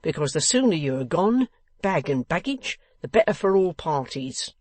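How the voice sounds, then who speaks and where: A woman voicing a blustering, contemptuous older rich man in an audiobook, loud, mocking and emphatic. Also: low voice, very animated, normal speed, dry recording, pitched above the performer's own voice. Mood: scornful. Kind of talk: dramatised audiobook reading.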